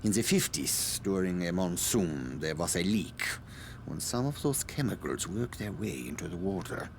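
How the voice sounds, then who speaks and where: Video game scientist: low voice, formal and precise, politely condescending, slippery, ambient noise underneath. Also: male voice, animated, moderate pace, creaky. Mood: grave warning. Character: evasive self-justifying male scientist, polite and condescending. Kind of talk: dramatic video game cutscene acting.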